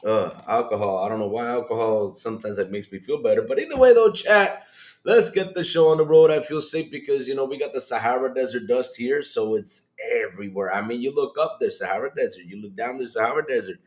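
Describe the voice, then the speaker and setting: A man hosting a casual livestream with a congested, slightly hoarse voice, swinging between loud bursts and low asides. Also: brisk. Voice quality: hoarse, grumpy-sounding congested voice. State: congested, sick with allergies or a cold. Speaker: livestream podcast host. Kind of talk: casual livestream chat to viewers.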